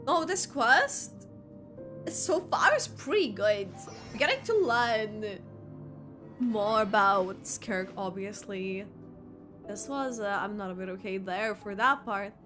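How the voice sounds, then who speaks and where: A woman's voice: medium pitch, expressive, slow, fairly bright tone, soft background music. A woman streamer reacting live to a game, chatting and thinking aloud expressively.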